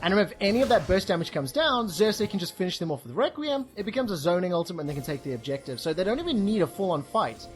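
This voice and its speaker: A man calling live esports play-by-play with quick, excited bursts. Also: high-pitched. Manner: excitable, fast bursts, exclamations. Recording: commentary over loud game music.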